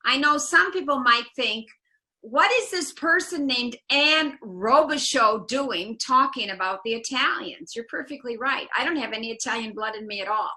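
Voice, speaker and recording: An older American woman from the Midwest, in her seventies, tells her story as a tour guide on a video call. She sounds high and very bright. She savors the Italian words she drops in. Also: lively intonation, normal speed. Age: 70s. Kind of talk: illustrated talk over slides.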